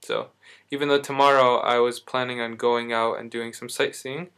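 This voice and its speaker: A man's voice: medium pitch, little pitch movement, normal speed, a bit bright, clean tone. Rambling man vlogging through back pain. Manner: rambling, self-correcting, lots of fillers. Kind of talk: casual video diary.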